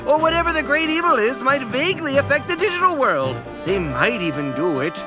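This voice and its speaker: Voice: high-pitched, sing-song, brisk. Comic narrator played by an actor for a cartoon parody. Self-important, rambling, swooping delivery, music bed. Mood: smug, rambling. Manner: mock-grandiose, rambling. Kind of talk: comedic scripted narration. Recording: music behind the voice.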